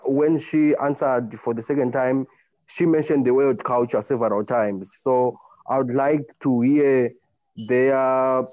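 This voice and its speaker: A man with a Zimbabwean accent giving his view in an online discussion, speaking deliberately with hesitant pauses. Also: mid-range voice, darkish tone, no echo, rough recording. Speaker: participant in a group discussion. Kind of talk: spoken contribution to an online panel discussion.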